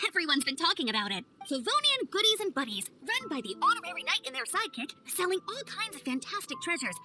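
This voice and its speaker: A woman voicing a perky, energetic young female knight scout in a video game, with friendly, emphatic delivery. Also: extremely high pitch, quick pace, over music. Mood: excited. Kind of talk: game cutscene voice acting.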